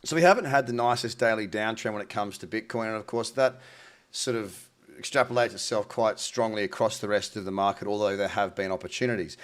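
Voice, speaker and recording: A man's voice: on the low side, a little fast. A man with a slightly nasal, head-cold voice, giving informal, matey market commentary as the host of a trading show. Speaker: host, crypto trading analyst. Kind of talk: casual market commentary to camera.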